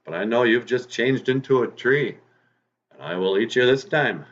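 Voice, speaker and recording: A man voicing a proud, boastful male fox hunter who is easily fooled, exclaiming loudly. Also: medium pitch, expressive, moderate pace, a little hiss or hum, pitched above the performer's own voice, natural voice. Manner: loud, blustering, exclaiming. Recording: dull lo-fi recording.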